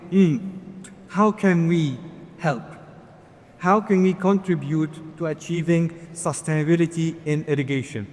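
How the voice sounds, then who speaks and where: Male conference presenter, earnest with light humor, slightly high voice, long hall reverb. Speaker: conference speaker.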